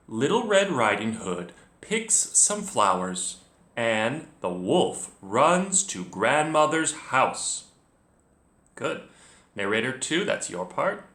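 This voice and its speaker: A man leading a children's read-along lesson, speaking directly to the learner and narrating clearly as a storyteller. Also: mid-range voice. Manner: expressive, addresses the learner directly. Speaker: children's reading teacher, storyteller. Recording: echoey room.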